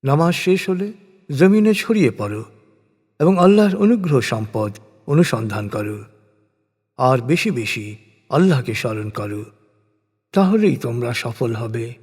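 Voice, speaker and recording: A man narrating translated scripture in a solemn, measured, declamatory scripted style. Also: medium pitch, animated, a little mellow, breathy edge. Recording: long reverberant hall-like echo.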